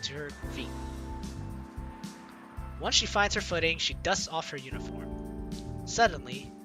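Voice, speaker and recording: Male let's player, lively swooping delivery, dramatic reading and commentary over game music. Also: a little high, measured pace. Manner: animated, theatrical. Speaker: gaming video host. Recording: music behind the voice.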